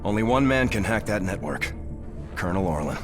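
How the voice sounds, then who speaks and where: A serious, loyal starship captain from a video game, played by a man, talks plainly and resolutely in a deep voice, with clear music behind him. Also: expressive, brisk, lightly acted. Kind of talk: video game cutscene acting.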